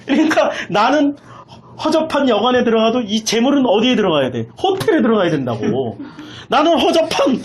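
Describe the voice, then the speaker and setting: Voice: medium pitch, sing-song, fairly quick, slight background noise. A pastor preaching an impassioned sermon, emphatically repeating phrases and putting rhetorical questions in a raised voice.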